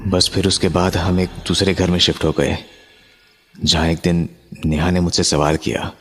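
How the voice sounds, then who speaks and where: A man with a deep voice narrates a horror tale as if he lived it. He builds suspense with drawn-out, dramatic pauses. Music plays behind him. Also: little pitch movement, fairly bright tone, husky. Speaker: narrator of a horror story, voicing its main character. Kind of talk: dramatic first-person horror storytelling.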